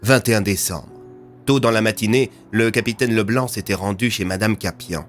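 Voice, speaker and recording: A man's voice: lowish voice, normal speed, over music. A man reading scripted French audio fiction as its narrator.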